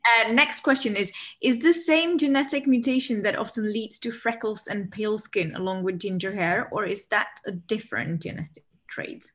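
A woman whose first language is Czech, co-hosting over a video call and reading out audience questions in a measured way. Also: mid-range voice, a bit bright.

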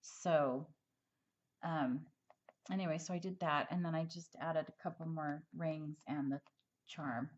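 Woman crafter, slightly hoarse, lower voice, rambling tutorial delivery, lo-fi home recording. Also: steady tone, unhurried. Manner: chatty, rambling, frequent ums and restarts. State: slightly hoarse, losing her voice. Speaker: crafter showing a handmade project. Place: indoors at a craft table.

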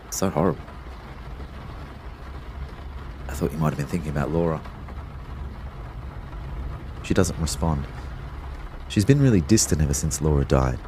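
A reflective young boyfriend played by a man in an audio drama, with a low voice. He tells his story in a measured, understated way and sounds concerned for others. Faint noise sits behind him. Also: a little mellow. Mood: concerned, hesitant. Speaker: narrator and lead character. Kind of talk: first-person audio drama narration and dialogue.

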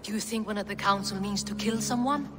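A woman's voice: medium pitch, a little fast, over music, close to an everyday voice. A woman playing an earnest, loyal Norse noblewoman in a video game, terse and formal. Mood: anxious. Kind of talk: dramatic video game cutscene acting.

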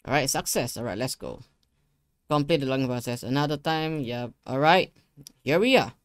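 A young man giving a casual software tutorial, talking himself through each step in an informal, rambling way. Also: mid-range voice, lively intonation, moderate pace, no room sound, clean studio sound. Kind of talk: casual screen-share tutorial. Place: indoor living room gaming setup. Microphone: close desktop USB microphone.

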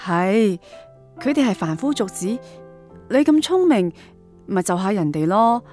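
Young wife and mother, practical and emotional, lively intonation, radio drama. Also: mid-range voice, a little slow, soft background music. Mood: soothing, coaxing. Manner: earnest, reasoning, emotional. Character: practical wife and mother, worried about family finances.

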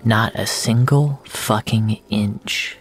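A man's voice: on the low side, steady tone, slow, husky. An actor playing a depressed, regretful man who narrates his own story in a brooding, confessional manner, as in a scripted audio drama.

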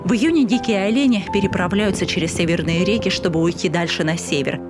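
A woman narrating a news report in a calm, measured, scripted way. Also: lowish voice. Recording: voice-over with loud music behind.

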